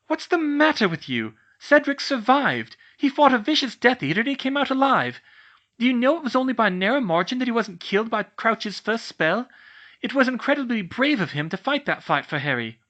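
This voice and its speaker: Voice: mid-range voice, very animated, fairly quick, a bit bright, muffled recording, pitched above the performer's own voice. A narrator voicing a clever, bossy teenage witch girl who speaks in an earnest, insistent, scolding way. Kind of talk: fan fiction audiobook reading.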